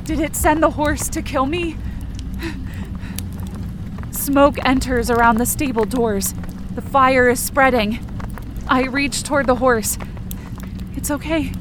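A woman's voice: high-pitched, slow pace, pauses often. A woman narrating first-person horror fiction in the present tense, with dramatic, urgent storytelling. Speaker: podcast writer and performer. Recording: loud sound effects and noise behind.

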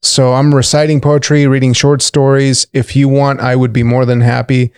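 A man's voice: mid-range voice, flat delivery, normal speed, darkish tone, clear, resonant tone, no echo, studio quality. A man hosting a podcast interview in casual chat, rambling, joking and swearing a lot. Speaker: podcast host.

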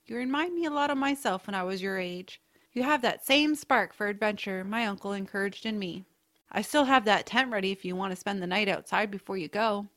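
A woman playing a kindly, adventurous aunt in a family audio drama, speaking in a gentle, reassuring, measured way. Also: medium pitch, natural voice. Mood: welcoming. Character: kindly, adventurous, knowing aunt with a mysterious side.

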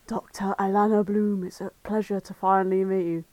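A woman's voice: mid-range voice, little pitch movement, a little fast, warm tone, no room sound, natural voice. A woman voicing a formally polite woman psychologist in a comedic fan fiction reading. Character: formally polite psychologist Doctor Alana Bloom.

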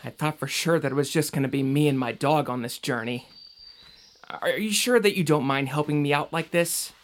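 A man's voice: fairly high pitch, normal speed, close to an everyday voice. An actor playing a doubtful, earnest young man in an audio drama, speaking in a conversational, questioning way. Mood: earnest, downcast.

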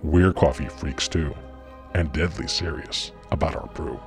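Very deep-voiced male ad announcer. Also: fairly even intonation, measured pace, gravelly. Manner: punchy, selling tone. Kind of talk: scripted radio ad read. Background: faint music.

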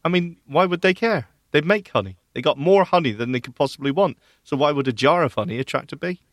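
Man, podcast co-host, casual game review chat, animated intonation, bantering, dry sound. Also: on the high side, fast talker, dry recording.

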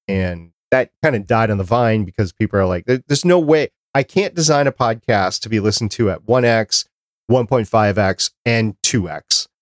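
A man's voice: lowish voice, expressive, moderate pace, no room sound, studio quality. A man hosting a podcast, chatting casually as he interviews a guest.

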